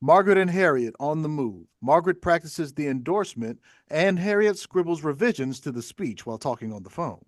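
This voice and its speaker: A man's voice: on the high side, lively intonation, fairly quick, hardly any pauses, no echo, clean studio sound. A man reading stage directions aloud as scripted narration for a play.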